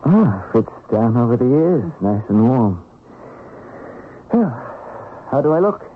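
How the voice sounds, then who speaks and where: An old, narrow-band radio drama recording carries a naive Russian peasant son around thirty. He speaks at a middle pitch, earnest and halting, with a simple good nature. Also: big pitch swings, a little hiss or hum. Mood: excited, tender. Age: 30s.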